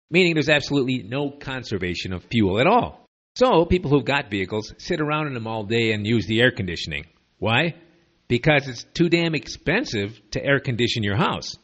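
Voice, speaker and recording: A man's voice: mid-range voice, big pitch swings, moderate pace, a bit bright, highs cut off. A man from the United States with a slight lisp, giving opinionated, digressive commentary as a podcast host on makeshift equipment away from his usual studio. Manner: sardonic, digressive, conversational. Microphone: makeshift borrowed equipment.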